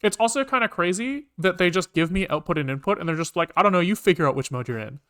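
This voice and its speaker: Bright, clear-voiced man thinking aloud. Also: sits high, expressive, quick pace. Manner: informal, mutters to self, swears casually. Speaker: programmer live-streaming. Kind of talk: casual think-aloud live coding commentary.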